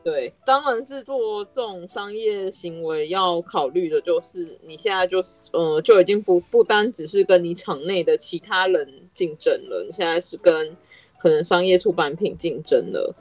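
A woman's voice: on the low side, animated, normal speed, a little mellow, soft background music. A woman with a Taiwanese Mandarin accent chatting casually as a podcast host.

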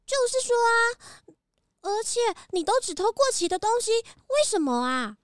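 A woman's voice: very high-pitched, sing-song, normal speed, no room sound, studio quality. A woman voicing a childlike, picky animal shop worker in a children's audio drama, with a whiny, emphatic delivery. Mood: chiding.

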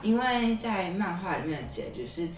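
A woman's voice: medium pitch, animated, normal speed. A woman with a Taiwanese Mandarin accent co-hosting a podcast, chatting casually in a rambling, conversational way. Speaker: podcast co-host. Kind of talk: casual conversational recap and commentary. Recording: roomy echo, slightly distant mic. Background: music.

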